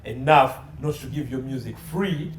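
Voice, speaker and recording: Male legal pundit, forceful rhetorical delivery in interview, recorded in a reverberant room with slight background noise. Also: mid-range voice, moderate pace. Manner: emphatic, assertive, repeats phrases and asks rhetorical questions. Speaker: guest expert, entertainment law consultant. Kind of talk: opinionated interview commentary. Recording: roomy echo, faint background noise.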